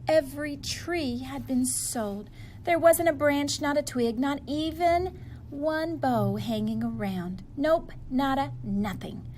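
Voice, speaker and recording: A woman reading a children's story aloud in a playful, expressive way, leaning on words for emphasis. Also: sits high, slight background noise, rough recording. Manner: animated, playful, drawn-out emphasis. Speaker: storybook reader.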